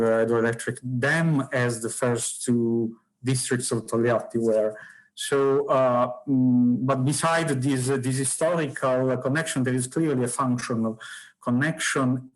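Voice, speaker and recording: A man, a book author whose first language is Italian, answering audience questions in a halting academic way with many fillers and restarts. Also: mid-range voice, low-quality recording.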